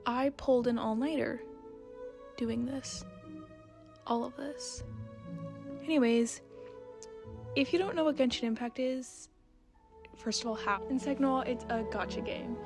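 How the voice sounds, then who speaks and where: A woman's voice: fairly high pitch, unhurried, pauses often. A young woman giving a casual, chatty voice-over in a rambling, self-deprecating way. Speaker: video creator and artist. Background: background music.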